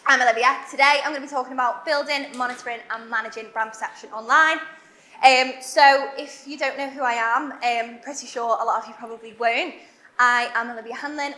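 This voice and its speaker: Young Liverpool woman, high bright voice, chatty conference speaker, echoey hall. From Liverpool, England. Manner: chatty, self-deprecating, fast with many fillers. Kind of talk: conference talk. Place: large warehouse event hall.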